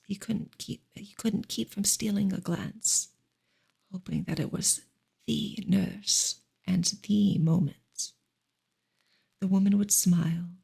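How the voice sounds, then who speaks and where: Female ASMR narrator for literary reading: very breathy and whispery, touch of fry, hushed and deliberate. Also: medium pitch. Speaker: narrator reading a novel aloud. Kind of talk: soft-spoken ASMR book reading.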